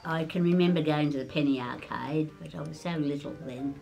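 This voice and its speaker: Gravelly woman in her eighties. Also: deep voice, a little fast, a little mellow, faint music behind. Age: 80s. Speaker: interviewee. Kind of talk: reminiscing interview.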